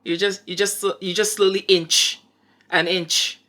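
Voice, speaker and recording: A woman's voice: medium pitch, flat delivery, unhurried, sharp tone. A woman hosting a podcast, speaking playfully in candid conversation.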